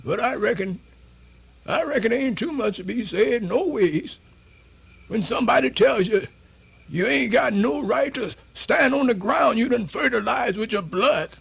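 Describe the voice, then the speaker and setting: An actor playing a spirited, folksy elderly man with a Southern US accent, rambling and stammering through a radio theatre monologue in a raspy voice. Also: expressive, measured pace, a bit bright, full character voice. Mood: measured, resolute. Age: older. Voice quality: raspy, creaky, high-pitched.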